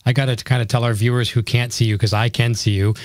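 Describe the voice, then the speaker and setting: A middle-aged man with a slightly hoarse voice, hosting a casual conversational interview. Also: medium pitch, flat delivery, fast talker, no echo, studio quality. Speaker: show host interviewing a guest.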